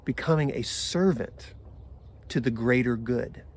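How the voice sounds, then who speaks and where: Slow, deliberate American pastor preaching. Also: male voice, mid-range voice. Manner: measured, deliberate phrasing with pauses. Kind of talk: sermon for an online service. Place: outdoors in a garden.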